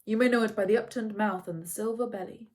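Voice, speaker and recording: A woman voicing a stern ancient male centaur teacher who speaks in a neutral, calm and factual way. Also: mid-range voice, quick pace, close to an everyday voice. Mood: measured.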